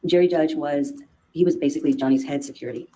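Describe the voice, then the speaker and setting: A woman answers questions on the witness stand, stumbling and restarting her sentences, full of fillers. Her voice is mellow and dark, yet she talks quickly. Faint noise sits under the courtroom feed. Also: low-pitched, steady tone. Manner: halting, many restarts and fillers. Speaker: trial witness. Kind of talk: courtroom testimony.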